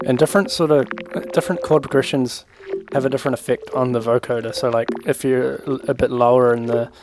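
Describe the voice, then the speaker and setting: A man's voice: mid-range voice, fairly even intonation, brisk, background noise. A young man giving a casual music production tutorial in an informal, improvised way, thinking aloud as he works. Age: young adult. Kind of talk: casual screen-recorded software tutorial. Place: indoor room at home. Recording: loud electronic synth music over voice. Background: loud synth and beat playback.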